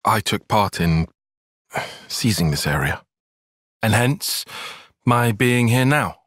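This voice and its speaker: An actor playing a bookish young male soldier-tactician in a video game, with a British accent and a measured, formal, dry way of speaking. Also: lowish voice, expressive, fairly bright tone, dry recording, exaggerated voice. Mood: measured, matter-of-fact. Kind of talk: video game cutscene voice acting.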